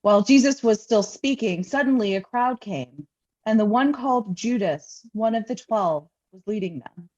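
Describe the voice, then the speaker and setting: Mellow woman reading scripture, slightly muffled. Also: mid-range voice, normal speed. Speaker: scripture reader, pastor. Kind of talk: solemn liturgical scripture reading.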